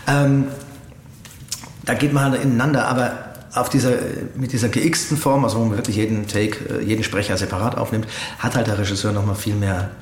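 A man giving reflective interview answers as a voice actor, halting and hesitant as he searches for words. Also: on the low side, little pitch movement. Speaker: interview guest, audio drama voice actor. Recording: roomy echo with faint background noise.

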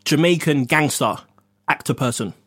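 A man's voice: a little high, normal speed, a bit bright, husky. Man, podcast co-host, jokey, laughs a lot, casual chat. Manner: jokey, teasing, laughs often.

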